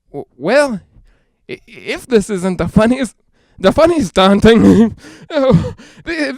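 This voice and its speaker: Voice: mid-range voice, dry recording, clean studio sound. Actor doing a scared young radio host guy cracking up in a ghost house, breathless rambling, voice all over the place, old radio play. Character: frightened, unravelling young male radio broadcaster in a haunted house. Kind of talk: 1940s radio drama acting.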